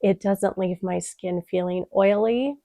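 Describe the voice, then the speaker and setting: A woman's voice: mid-range voice, fairly even intonation, a little slow, gravelly, no room sound, studio quality. A young woman vlogger with a stuffed-up, nasal voice from a cold, chatting casually through a product review with many "um"s. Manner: chatty, frequent "um" fillers, soft-spoken. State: congested, stuffed-up voice. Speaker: beauty vlogger.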